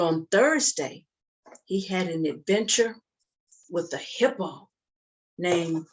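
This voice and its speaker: Slow-paced woman storyteller for children. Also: on the low side. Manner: expressive, deliberate, with acted sound effects and call-and-response. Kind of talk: interactive read-aloud storytelling for young children.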